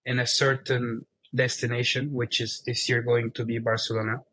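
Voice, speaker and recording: Turkish-accented man speaking in a flat, even tone. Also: mid-range voice, moderate pace. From Turkey. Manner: explains plainly in non-native English. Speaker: guest, company CEO. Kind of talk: casual interview conversation.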